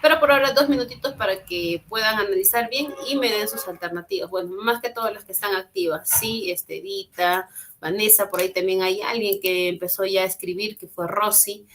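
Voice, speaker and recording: A woman's voice: mid-range voice, fairly quick, a bit bright, slight background noise, rough recording. A woman teaching an online English class, patient and encouraging, repeating and translating phrases. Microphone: computer mic on a video call.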